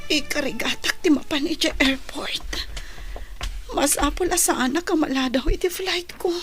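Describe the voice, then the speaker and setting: Actress as a very high-pitched flustered woman. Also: normal speed, a bit bright, faint music behind. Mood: distressed, strained. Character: panicked high-voiced woman, flustered and fretful. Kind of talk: Filipino radio drama acting.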